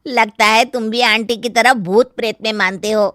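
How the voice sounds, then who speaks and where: A woman voicing a friendly, teasing young brother in an animated story. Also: fairly high pitch, moderate pace, dry recording, studio quality, natural voice. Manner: bright, teasing.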